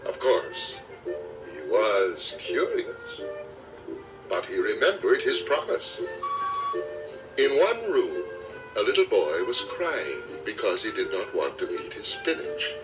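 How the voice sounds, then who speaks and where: Male children's audiobook narrator, slow and lively, dramatic storytelling style, slightly distant cassette sound. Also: on the low side, over music. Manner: expressive, dramatic storytelling delivery. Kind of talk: children's storybook read-aloud.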